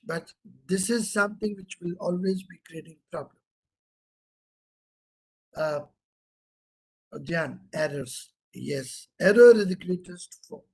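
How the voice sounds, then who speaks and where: A man's voice: high voice, breathy edge, rough recording. A middle-aged man with an Indian English accent, giving an interactive online lecture, asking questions and repeating key terms for emphasis.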